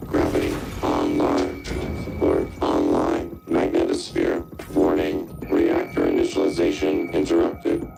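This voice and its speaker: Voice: a bass voice, completely flat delivery, measured pace, creaky, pauses often, warm tone, soft background music, full character voice. A performer voicing a cold, impassive space station computer in a video game, making clipped, mechanical announcements.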